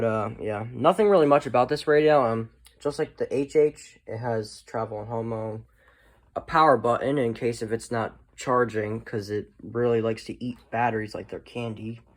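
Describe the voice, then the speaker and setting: A man chatting casually as a hobbyist presenter, rambling and often trailing off at the end of his thoughts. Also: mid-range voice. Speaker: hobbyist collector presenting a video. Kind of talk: casual show-and-tell chat.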